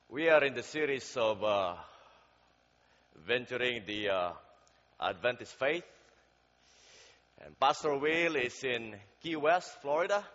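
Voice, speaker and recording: A man preaching a sermon in a church, praying and telling a story in a slow, deliberate, halting way with fillers, growing louder as the story builds. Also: medium pitch. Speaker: guest preacher. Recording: dull sound with long hall reverb.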